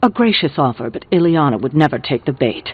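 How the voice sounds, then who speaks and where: A dry, formal mystic, thin audio. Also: male voice, a little high, lively intonation, fairly quick, husky. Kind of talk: video game character dialogue. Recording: thin, phone-like narrow audio.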